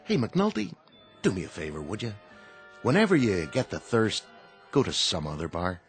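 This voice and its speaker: An actor in a radio drama playing a gruff, sardonic, fed-up bartender with a blunt way of talking. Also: male voice, lowish voice, huge pitch swings, normal speed, faint music behind. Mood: irritated. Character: gruff, fed-up male bartender who owns the bar.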